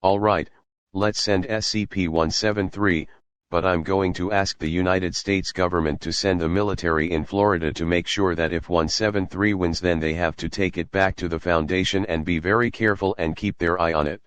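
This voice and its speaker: Deep smooth-voiced man presenting news. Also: fairly even intonation, normal speed, no room sound. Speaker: newscast presenter. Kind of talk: scripted newscast talk.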